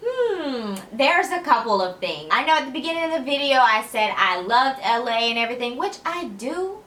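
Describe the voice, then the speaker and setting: Young woman vlogger, bright and chatty, a touch raspy from tiredness, recorded in an echoey room. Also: high-pitched, normal speed. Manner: energetic, chatty, laughs often, sassy asides. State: tired, slightly raspy voice late at night. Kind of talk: casual chat to camera.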